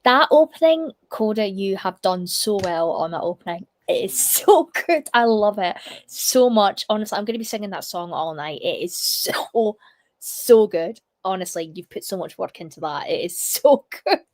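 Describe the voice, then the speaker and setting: A woman hosts a casual livestream and greets her viewers with bright enthusiasm. Her voice swoops up and down expressively, and it sounds dry and close, with no room around it. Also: medium pitch, lively intonation, moderate pace, no room sound. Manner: gushing and enthusiastic. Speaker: livestream host of reading sprints.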